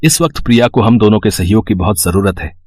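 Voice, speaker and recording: A man playing a caring young husband and son in an animated moral story, earnest, gentle and persuasive. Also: on the low side, brisk, slightly rough, dry recording, studio quality. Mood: earnest, pleading.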